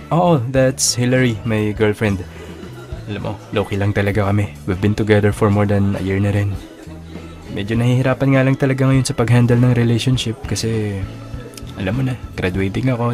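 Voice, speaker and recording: A man's voice: on the low side, steady tone, normal speed, darkish tone, close to an everyday voice. A Filipino man playing an earnest male college student in a naturalistic, conversational audio drama. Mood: slightly awkward. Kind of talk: naturalistic radio-style drama acting. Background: background music with guitar.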